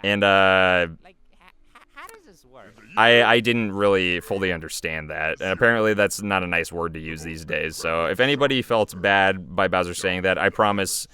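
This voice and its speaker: A man giving informal, off-the-cuff commentary as the creator of an animated show. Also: low-pitched. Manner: informal, chuckling, hesitant. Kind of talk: casual commentary.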